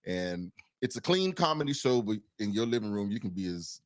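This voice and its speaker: A man's voice: lowish voice, big pitch swings, fairly quick. A man co-hosting a podcast in a loose, casual way, often repeating and restarting his phrases. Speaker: podcast co-host.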